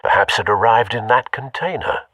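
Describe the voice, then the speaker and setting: An actor playing a dry-witted, dutiful older butler in a video game, formal and gently sardonic. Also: male voice, lowish voice, lively intonation, normal speed, no echo, recorded from a distance. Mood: dry, concerned.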